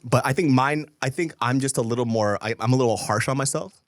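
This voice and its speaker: A man who grew up in the United States with Korean as his first language, telling stories in a casual podcast chat, animated and swearing freely. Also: mid-range voice, fast talker, dry recording, studio quality. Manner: animated storyteller, swears freely, laughs often. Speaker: podcast co-host.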